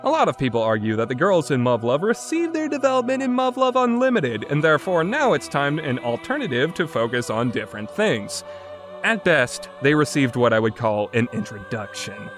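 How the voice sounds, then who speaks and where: A man's voice: medium pitch, fairly bright tone. A man narrating a scripted review as a critic, blunt, exasperated and opinionated in manner. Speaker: game reviewer.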